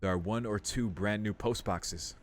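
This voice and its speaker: A man's voice: on the low side, moderate pace. A young man giving casual playthrough commentary, thinking aloud informally and reading game text out as he goes. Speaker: video game streamer.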